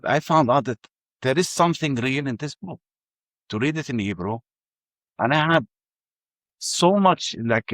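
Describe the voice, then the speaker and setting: An older man with an Arabic accent telling his life story in halting English full of restarts. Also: medium pitch, dry recording. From Ramallah. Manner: halting, many restarts and fillers. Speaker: interview guest. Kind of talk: personal testimony.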